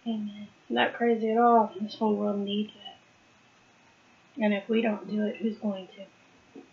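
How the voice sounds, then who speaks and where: A woman's voice: medium pitch, flat delivery, takes it slowly, creaky, phone-quality sound. Chatty woman losing her voice. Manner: chatty, laughs often, warm southern "y'all" address. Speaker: host of a live Bible study. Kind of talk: casual live Bible study talk.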